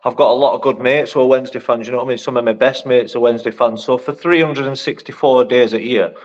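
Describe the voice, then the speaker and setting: A man's voice: medium pitch, steady tone, quick pace, highs cut off. A man, co-hosting a casual fan podcast, rambling and often saying "you know what I mean". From Sheffield, England. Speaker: podcast co-host. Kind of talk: casual chat.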